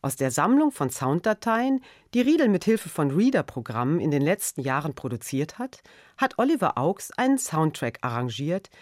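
A woman's voice: sits low, darkish tone, dry recording, clean studio sound. A woman presenting a radio programme, reading a formal scripted introduction.

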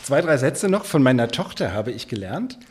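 A male radio host reading a scripted introduction, then interviewing a guest in measured tones. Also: mid-range voice, very animated, a little mellow, husky. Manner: measured, sentence prompts.

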